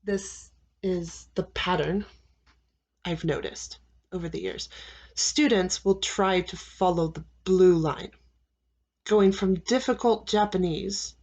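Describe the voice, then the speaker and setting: Slow, deliberate American woman teacher. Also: medium pitch, a little mellow, slightly rough. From United States. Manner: slow, deliberate, repeats key phrases with frequent "okay?" checks. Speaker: English teacher. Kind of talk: classroom advice talk to students.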